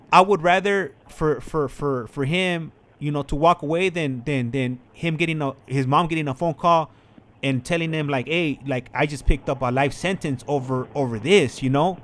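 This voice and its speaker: A man's voice: a little high, fairly bright tone. An American man chatting casually as a channel host, telling personal stories in a rambling, repetitive way full of filler phrases. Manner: rambling, repetitive, peppered with "like" and "you know".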